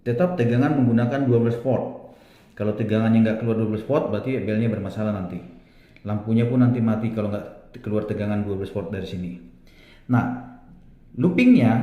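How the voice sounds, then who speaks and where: Mellow-voiced man teaching in an echoey room. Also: lowish voice. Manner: didactic, often checking in with a tag word. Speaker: technical trainer. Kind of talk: informal technical lesson explaining a diagram. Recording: roomy, echoing sound.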